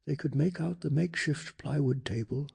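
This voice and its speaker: A man narrating a bedtime story in a soft-spoken ASMR style, calm, hushed and deliberate. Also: fairly high pitch, vocal fry, husky.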